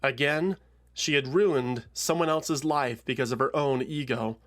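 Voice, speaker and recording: A man narrating a scripted fantasy story in a solemn, measured, dramatic manner with deliberate pauses. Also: mid-range voice. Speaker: narrator and show host.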